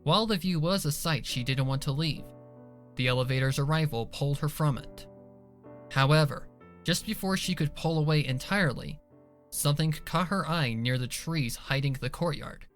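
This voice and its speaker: A man's voice: a little high, normal speed. A man reading scripted dramatic narration for a fan fiction story. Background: soft music behind the voice.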